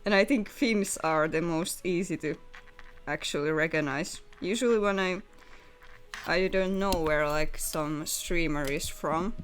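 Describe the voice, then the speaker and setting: A woman's voice: lowish voice, a little slow. A young woman streaming live and chatting casually, giggling and laughing often as she talks.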